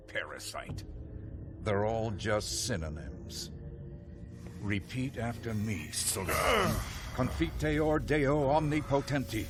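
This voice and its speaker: An actor playing a stern, zealous priest interrogator in a video game, solemn, deliberate and condescending. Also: male voice, fairly low pitch. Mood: cold, formal, then zealous, chanting. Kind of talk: video game cutscene acting. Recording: voice under loud dramatic game music.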